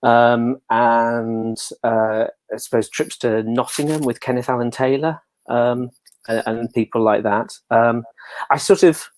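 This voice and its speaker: A man's voice: mid-range voice, unhurried, darkish tone, a little hiss or hum. An English man chatting casually and telling anecdotes as an interview guest, with a jokey, self-deprecating manner. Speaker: interview guest, pantomime dame performer.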